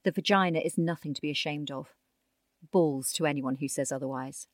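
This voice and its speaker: A woman with a low-pitched voice reads a long-form magazine article as its narrator. The delivery is scripted narration, and the sound is close and dry. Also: a little fast, no room sound. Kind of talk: scripted article narration, reading quotes aloud.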